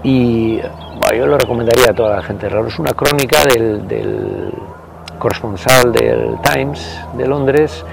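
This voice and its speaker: A man, telling stories as an interview guest, hesitant with many pauses and restarts and some casual swearing. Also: mid-range voice, creaky. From Basque Country. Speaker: interview guest, party member. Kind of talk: conversational storytelling interview. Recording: loud background noise.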